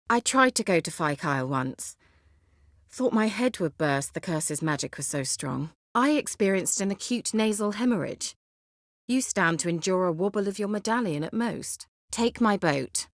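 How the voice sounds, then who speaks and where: An actress voicing a haughty, witty, sly sorceress in a video game, with an arch, formal and sardonic way of talking. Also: female voice, on the low side, lively intonation, normal speed, no room sound, studio quality. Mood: wry, composed. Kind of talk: video game character dialogue.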